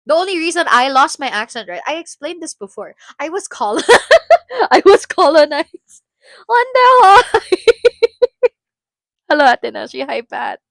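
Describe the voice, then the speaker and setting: Woman streamer from the Philippines with a high-leaning voice, loose rambling chat full of restarts and laughs, dry sound. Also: big pitch swings, measured pace, creaky, long pauses, fairly bright tone, no room sound. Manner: rambling, self-interrupting, laughs often. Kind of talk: casual live-stream chat.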